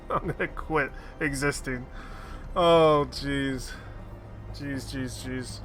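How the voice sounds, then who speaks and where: A man's voice: medium pitch, steady tone, extremely slow, music in the background. A man streaming a game, chatting casually with goofy, laughing asides. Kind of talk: casual gameplay commentary.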